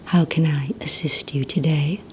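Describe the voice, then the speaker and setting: A woman whispering softly and breathily in an ASMR roleplay, playing a polite receptionist who asks questions in a gentle, hesitant manner. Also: low voice, steady tone, normal speed, a little hiss or hum, poor audio. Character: courteous female villain recruitment receptionist.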